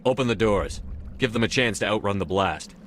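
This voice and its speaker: A man playing a human military commander in a video game. His voice is fairly low, and he speaks with calm, firm decisiveness. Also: a little fast, noisy background, natural voice.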